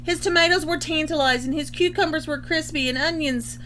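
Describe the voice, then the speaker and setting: A woman reading a children's picture book aloud expressively, pausing to explain things in simple terms. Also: sits high, fairly bright tone, a little hiss or hum. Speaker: storybook reader.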